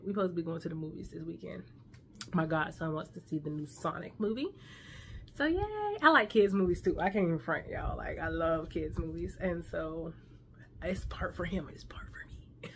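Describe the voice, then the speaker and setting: A woman's voice: on the low side, bright, forceful tone, slight background noise. Chatty woman vlogging from her bedroom. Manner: chatty, laughs often, calls viewers y'all. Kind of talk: casual chat to camera. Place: bedroom at home, indoors. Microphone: phone camera close up.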